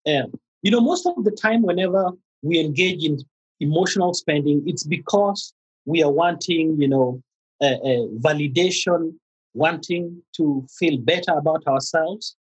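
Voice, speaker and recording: Husky-voiced Kenyan man, halting speech. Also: a little high, low-quality recording. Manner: halting, many fillers and restarts. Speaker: guest therapist. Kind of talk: conversational interview.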